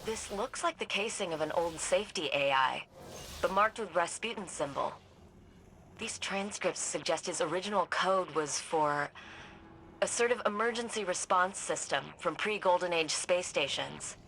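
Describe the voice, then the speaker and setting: Curious researcher over the radio. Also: female voice, fairly low pitch, normal speed, slight background noise, low-quality recording. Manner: inquisitive, analytical. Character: curious, sharp-minded woman researcher on comms. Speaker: guardian's radio contact. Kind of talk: video game cutscene dialogue. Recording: game audio with loud score.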